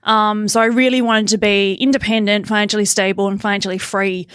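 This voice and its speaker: Middle-aged Australian female guest, smooth clear voice, casual conversational storytelling, very dry sound. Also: mid-range voice, level tone, brisk, fairly bright tone, no room sound. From Tasmania, Australia. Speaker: podcast guest, accountant and business owner.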